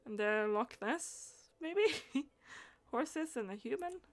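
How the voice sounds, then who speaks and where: A woman's voice: on the high side, lively intonation, slow. A woman doing casual live gaming stream commentary, thinking aloud hesitantly and letting phrases trail off.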